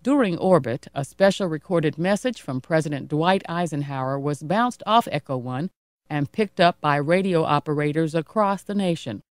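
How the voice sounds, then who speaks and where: A woman reads scripted informational narration. Her voice sits on the low side and is recorded very dry, with no room sound. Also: animated, normal speed, no room sound.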